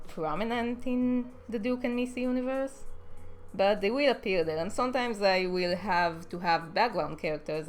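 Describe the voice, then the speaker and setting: A young woman with an Israeli accent chatting casually on her drawing live stream, rambling with frequent ums while answering viewers. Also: fairly low pitch. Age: young adult.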